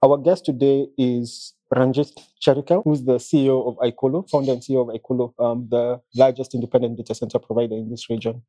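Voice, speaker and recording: A man's voice: medium pitch, fairly even intonation, quick pace, few pauses, dark tone, no room sound. A man hosting a podcast, speaking hesitantly with many fillers. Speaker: podcast host. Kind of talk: casual interview hosting.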